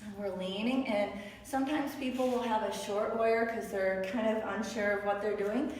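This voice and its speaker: A woman with a raspy voice, tired after many classes, guiding a beginner yoga class with upbeat, encouraging cues. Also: medium pitch, fairly even intonation, normal speed, a little hiss or hum. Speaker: yoga instructor. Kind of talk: guided yoga class instruction. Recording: echoey room with long reverb, voice from far off the mic.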